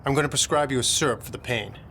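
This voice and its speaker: An actor playing a grave, courteous physician to a noble family in a period audio drama, clinical, polite and firm. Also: male voice, medium pitch, fast talker, bright, forceful tone, a little hiss or hum. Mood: professional, reassuring.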